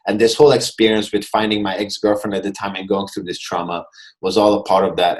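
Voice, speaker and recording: Guest coach, male, 20s, Hungarian-born, non-native English, slightly low pitch, thoughtful, video call sound. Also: low-quality recording. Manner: earnest, reflective, frequent restarts. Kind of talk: casual interview chat. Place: home room, video call.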